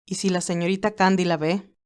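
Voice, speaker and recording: A woman voicing a loyal, formal male business aide in an audio story, measured and advising. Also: on the low side, fairly even intonation, a little mellow, clear, resonant tone, close to an everyday voice. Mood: concerned, probing.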